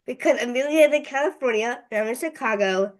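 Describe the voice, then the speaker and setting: A woman's voice: fairly high pitch, fast talker. A female interviewer hosting over a video call and asking prepared questions. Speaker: interviewer, show host.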